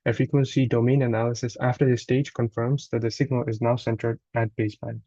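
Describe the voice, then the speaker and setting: A man reads a scripted technical walkthrough as a student presenter, somewhat haltingly, sometimes stumbling and correcting himself. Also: medium pitch, little pitch movement, dark tone, dry recording, dull audio. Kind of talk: scripted technical narration, read aloud.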